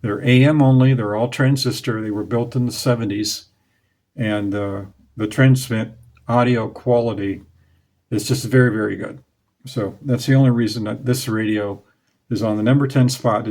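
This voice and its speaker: A man's voice: on the low side, darkish tone. A man in his forties, hosting his own hobby channel, talks casually to the camera in a small room through a lapel mic, plain-spoken and nostalgic, with a few fillers. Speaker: radio hobby channel host. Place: small room indoors in front of curtains. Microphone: clip-on lapel mic.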